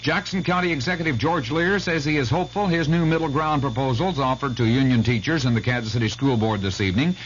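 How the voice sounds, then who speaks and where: A man's voice: medium pitch, fast talker, hardly any pauses. A man with an American accent reading a scripted local news bulletin as a radio newscaster. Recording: muffled 1970s radio, faint hum.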